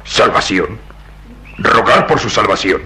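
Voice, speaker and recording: An actor playing an indignant, skeptical police inspector in a radio drama, deliberate and theatrical. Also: male voice, fairly low pitch, animated, sharp tone, a little hiss or hum, exaggerated voice. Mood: incredulous. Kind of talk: Latin American radio drama acting.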